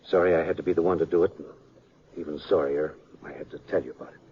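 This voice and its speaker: An actor playing an older small-town American sheriff, folksy and halting, in a 1950s Western radio drama. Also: male voice, extremely low pitch, fairly even intonation. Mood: grave, regretful. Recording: muffled, noisy 1950s radio recording.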